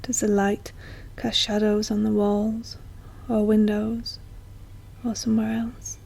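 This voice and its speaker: A woman guiding a meditation in a soft, breathy, often near-whispered voice, speaking gently and slowly with long, soothing pauses. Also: mid-range voice, monotone. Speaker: guided meditation teacher. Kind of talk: slow guided meditation narration.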